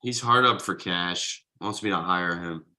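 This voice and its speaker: A man in a script table read playing a defensive, evasive husband and father, conversational and a little halting. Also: low-pitched, sharp tone, full character voice. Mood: resigned. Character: defensive, evasive middle-class husband and father writing ad copy.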